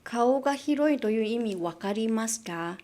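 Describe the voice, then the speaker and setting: A woman's voice: a little high, expressive, quick pace, mellow. A woman from Malaysia teaching Japanese idioms in a live online lesson, repeating words and asking viewers questions, switching between English and Japanese.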